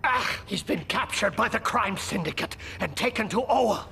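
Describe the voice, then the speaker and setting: Excitable, sharp, creaky villain fangirl. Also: mid-range voice, normal speed, a little hiss or hum. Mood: informative. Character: excitable, eager villain-obsessed fan. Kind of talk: video game cutscene acting.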